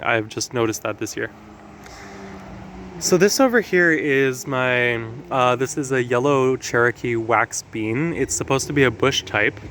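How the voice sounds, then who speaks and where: A man with a slightly raspy voice from allergies, talking casually and explaining things with lots of fillers as he walks through his garden. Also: medium pitch, a bit bright. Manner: chatty, explanatory, with frequent fillers. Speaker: gardening vlogger. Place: outdoor garden.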